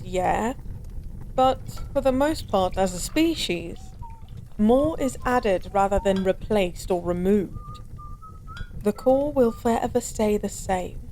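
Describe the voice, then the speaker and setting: A woman's voice: fairly high pitch, a little mellow, a little hiss or hum. A woman playing a weary, lonely former goddess in an intimate audio roleplay, speaking wistfully and philosophically in formal, old-fashioned wording. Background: bar ambience.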